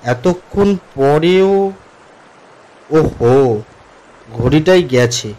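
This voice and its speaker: A man playing an uneasy office commuter who narrates his own horror story in an expressive, atmospheric way for a cartoon. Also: on the high side, extremely slow, poor audio. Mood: uneasy, hushed. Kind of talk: dramatic first-person horror story narration.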